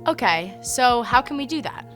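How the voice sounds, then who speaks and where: A woman news reporter with a bright, forceful voice asks scripted questions in an upbeat presenter manner. Light music plays behind her. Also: a little high, lively intonation, moderate pace.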